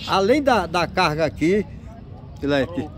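A man's voice: a little high, lively intonation, normal speed. A man with a Northeastern Brazilian accent chatting casually and teasingly as he films outdoors, full of slangy exclamations. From Brazil, interior of Pernambuco. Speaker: amateur vlogger filming a street market. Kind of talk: casual chat while filming. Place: outdoor street market and town streets. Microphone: handheld phone, speaker behind camera. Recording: noisy outdoor street, crowd and vehicles. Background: market crowd, street noise, vehicles.

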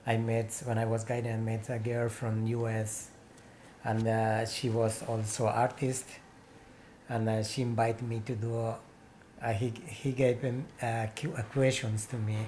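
A man in his forties from Peru, with a Spanish accent, telling his story in halting English full of pauses and restarts. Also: mid-range voice, level tone, a little mellow, slight background noise. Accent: Peruvian Spanish. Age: 40s. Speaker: interviewee, painter. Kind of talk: casual interview. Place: small room with painted walls, indoors.

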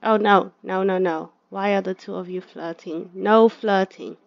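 A woman gives casual, chatty gameplay commentary and talks to her characters as she plays. She speaks slowly, and her voice has a gravelly edge. The sound is dry and slightly dull, like a lo-fi recording. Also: medium pitch, steady tone, no echo. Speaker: gaming video creator.

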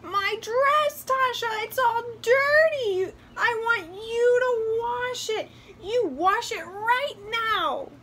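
A woman voicing a bratty little girl doll come to life in a storybook, shrill, demanding and squealing out commands. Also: big pitch swings, takes it slowly, few pauses, sharp tone, a little hiss or hum, exaggerated voice. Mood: angry, whiny.

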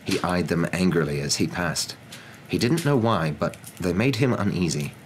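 A young man reading a story aloud as a dramatic, expressive ASMR narrator. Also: low voice, normal speed, slight background noise, poor audio.

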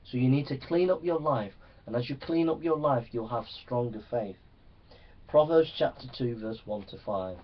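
A man's voice: mid-range voice, normal speed. A man preaching a Bible teaching sermon to young people in an earnest, exhorting manner, often checking for agreement. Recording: distant microphone, slightly dull sound.

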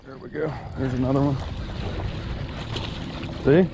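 A man's voice: medium pitch, fairly even intonation, unhurried, vocal fry, muffled recording. A man vlogging as he fishes at a quarry lake, talking in a casual, laid-back, drawling way while he casts, recorded on a head-mounted action camera. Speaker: fishing vlogger. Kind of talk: casual outdoor narration. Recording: faint outdoor noise.

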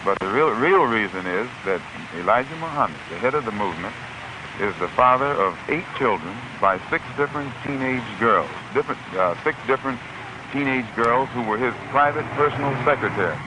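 A man speaking in a measured, deliberate, emphatic way, explaining as in an interview. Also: mid-range voice, animated. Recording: noisy archival recording.

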